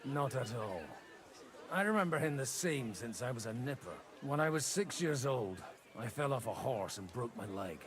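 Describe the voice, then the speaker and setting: Blunt medieval ex-priest played by an actor, lively pitch. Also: male voice, normal speed, a faint crowd, natural voice. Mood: wry, frank. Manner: blunt, plain-spoken. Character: defiant disgraced brawling ex-priest, nobleman's scorned elder son. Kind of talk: dramatic game dialogue in a medieval setting.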